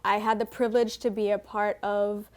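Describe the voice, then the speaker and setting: A woman's voice: mid-range voice, level tone, normal speed. A young woman from Trinidad, a painter chatting in an interview with many ums and likes. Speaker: painter, guest. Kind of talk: casual interview answers.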